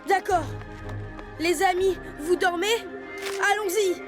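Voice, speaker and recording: A woman's voice: very high voice, takes it slowly. A woman voicing a brave, stubborn young male leopard cub in a children's cartoon dub, talking in an earnest, determined way. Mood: sleepy. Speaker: dubbing voice actor. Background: music.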